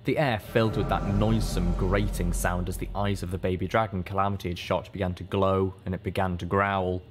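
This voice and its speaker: Casting: male audiobook narrator, deep-pitched, measured and dramatic, reading first-person fiction over faint music. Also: little pitch movement.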